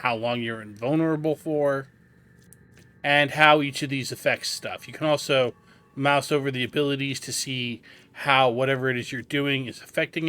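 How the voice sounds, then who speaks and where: A man's voice: medium pitch, a bit bright, smooth. A man hosting a video game guide, explaining things informally as he plays. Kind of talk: casual tutorial commentary over gameplay. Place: indoors, gameplay voice-over recording.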